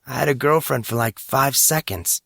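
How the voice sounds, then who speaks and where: Man acting a playful young guy, lifted pitch. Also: normal speed, creaky, pushed and bright, no echo, clean studio sound. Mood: joking, amused. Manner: casual, teasing, playful. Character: joking, tender young man comforting a girl.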